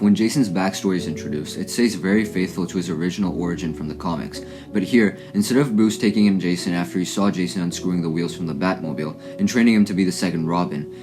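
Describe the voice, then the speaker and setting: A man's voice: low-pitched, darkish tone. A man narrating a scripted, analytical recap as a video essayist.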